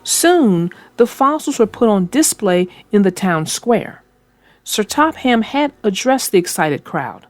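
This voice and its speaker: A woman reading a children's storybook aloud in a slow, expressive way, then chatting casually about it. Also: mid-range voice, dry recording. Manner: slow, expressive read-aloud with character voices. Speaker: storybook reader and co-host.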